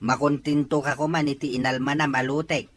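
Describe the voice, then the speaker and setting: A man's voice: medium pitch, little pitch movement, a little fast, slight background noise, close to an everyday voice. A man with an Ilocano accent playing a patient, fair-minded farmer father in an audio drama. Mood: firm, conciliatory. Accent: Ilocano, from the Philippines.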